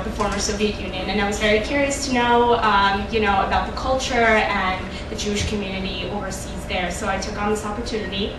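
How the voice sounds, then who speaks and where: A young woman panelist recounts her story at an event, pausing often with ums and uhs. Her voice sits at an ordinary middle pitch. It comes from a distance in a very echoey hall, with clear noise behind her. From Russia. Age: young adult. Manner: hesitant, many fillers. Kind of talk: personal account on a panel.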